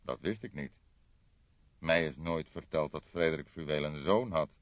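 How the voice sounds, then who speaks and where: A man voicing a dry, deliberate older police detective in an audiobook, with a measured, formal, probing way of talking. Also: rumbling low. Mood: pensive. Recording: dull, roomy audiobook recording.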